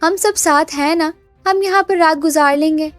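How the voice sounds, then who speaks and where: A woman's voice: high-pitched, normal speed, dry recording, soft background music, close to an everyday voice. A woman voicing a caring, earnest young daughter-in-law in a cartoon. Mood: reassuring. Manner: earnest, reassuring.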